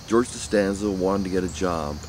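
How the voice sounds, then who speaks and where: A man rambling casually outdoors about faith, speaking haltingly with many restarts. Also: sits low, a little mellow, slight background noise. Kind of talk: casual spiritual musing. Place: outdoors near trees.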